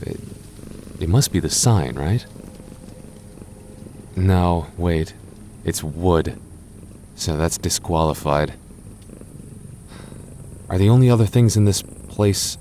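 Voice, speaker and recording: A man voicing a gentle, shy goth boyfriend in an ASMR roleplay, soft-spoken and stammering as he reassures his listener. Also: low-pitched, a little hiss or hum. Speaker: voice actor.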